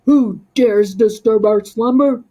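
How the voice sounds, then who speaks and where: A woman's voice: on the high side, slow pace, dark tone. A woman voicing a stern, wary, enormous male cave guardian in a children's storybook, giving solemn warnings. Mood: challenging. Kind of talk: children's storybook read-aloud.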